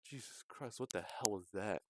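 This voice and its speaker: A man's voice: on the low side, normal speed, a little hiss or hum. A man commentating casually on a game livestream, swearing often and grumbling in exasperation. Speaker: streamer.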